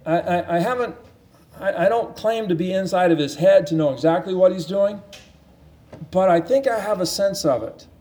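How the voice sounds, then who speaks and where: A man with a high, mellow voice preaches in an earnest teaching tone. He presses his points through repetition and often restarts his phrases. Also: normal speed. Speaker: pastor. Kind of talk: sermon Bible teaching.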